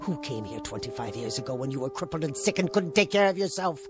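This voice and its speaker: An actress playing a bitter, caustic, middle-aged niece in a vintage television drama, with cutting sarcasm. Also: female voice, rumbling low, expressive, fast, over music. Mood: defiant. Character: bitter, long-suffering niece, caustic and resentful.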